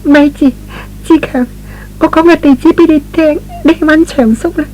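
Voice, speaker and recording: A man voicing a tender, devoted, injured young woman in a radio drama, speaking plaintively and haltingly. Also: very high voice, fairly even intonation, a little mellow, slight background noise, poor audio. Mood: weak, anxious. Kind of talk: Cantonese radio drama acting.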